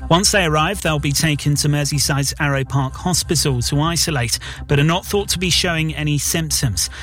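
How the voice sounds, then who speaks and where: A man reading the hourly radio news bulletin in a measured, formal way. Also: on the high side, fairly bright tone. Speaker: radio newsreader.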